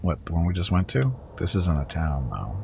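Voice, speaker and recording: Low-voiced man streaming with a head cold. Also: sing-song, moderate pace, creaky, a little mellow, slight background noise. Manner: chatty, wry, talks to viewers in chat. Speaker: streamer playing a video game. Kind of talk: casual livestream chat and reading game text aloud.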